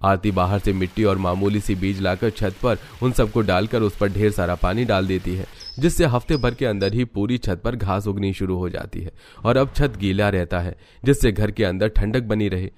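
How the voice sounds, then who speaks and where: A man's voice: on the low side, moderate pace, hardly any pauses, a little mellow, a little hiss or hum. A man reading scripted narration for a cartoon family story.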